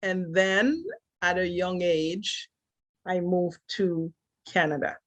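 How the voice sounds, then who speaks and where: A middle-aged woman from Haiti, a native French speaker, recounts her life story in a slow, measured way. Her voice sits fairly low, and she often laughs softly between thoughts. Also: fairly even intonation. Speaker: guest. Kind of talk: reflective personal storytelling.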